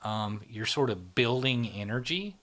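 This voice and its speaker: A middle-aged man explaining psychology in a relaxed interview, often checking in with "right?". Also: mid-range voice, unhurried. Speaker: psychology channel founder, explaining. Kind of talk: explanatory conversation.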